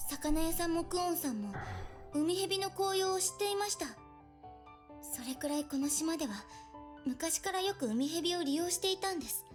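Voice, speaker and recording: A polite, quietly determined young girl from a visual novel, her voice pitched high and her lines quick. She speaks formally and softly, with faint game music underneath. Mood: earnest. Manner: formal, soft-spoken.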